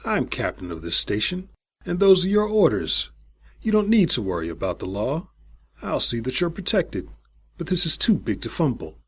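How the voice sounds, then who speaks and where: A man's voice: a little high, big pitch swings, moderate pace, slightly rough, voice pushed up. A man voicing an eager, sly old space station captain in an audiobook, snapping out orders and relishing his words. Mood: commanding, eager. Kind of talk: audiobook character voice.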